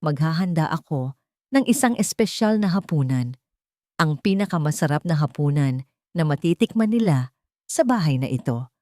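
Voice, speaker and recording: Woman acting coldly calm, resolute wronged wife. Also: sits low, moderate pace, no echo, clean studio sound. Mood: calm, deliberate. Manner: calm, hushed, determined.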